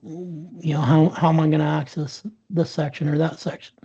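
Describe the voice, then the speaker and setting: A man with a dark, husky voice tells a candid story as an interview guest, stumbling and restarting as he goes. The webinar audio sounds dull and lo-fi. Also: a little high, little pitch movement, normal speed. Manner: frequent restarts and fillers, earnest. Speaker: interview guest, sales professional. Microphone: video call.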